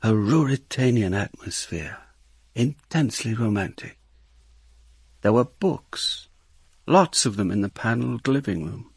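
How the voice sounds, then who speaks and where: An actor playing a world-weary, middle-aged police inspector, narrating his case in a measured, dry, reflective radio drama style. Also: lowish voice, lively intonation, slightly rough, no room sound, full character voice. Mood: brooding, observant. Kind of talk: radio drama, first-person detective narration.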